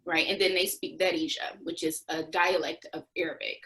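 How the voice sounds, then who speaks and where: A woman's voice: fairly low pitch, little pitch movement, normal speed, bright, forceful tone. Woman recounting her time abroad. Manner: storytelling with restarts and laughs. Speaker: interviewee, former volunteer. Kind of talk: conversational interview answers.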